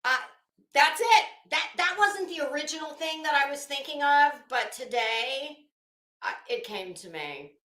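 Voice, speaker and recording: A woman's voice: sits high, animated, measured pace, bright, forceful tone. A woman hosting a casual livestream, rambling and interrupting herself as she hunts for words, with dramatic, emphatic storytelling. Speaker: livestream host. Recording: roomy, echoing room sound.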